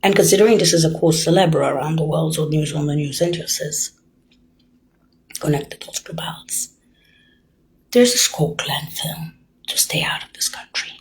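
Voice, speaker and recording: An older woman in a rambling monologue, lurching between hushed whispers and loud, emphatic bursts as she accuses her listeners directly. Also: deep voice, normal speed, pauses often, husky, low-quality recording.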